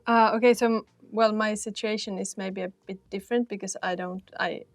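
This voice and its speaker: A woman's voice: mid-range voice, fairly even intonation, a little fast, no room sound. A woman, a career counselor talking casually with many fillers and restarts. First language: Finnish and Swedish. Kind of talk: casual panel talk.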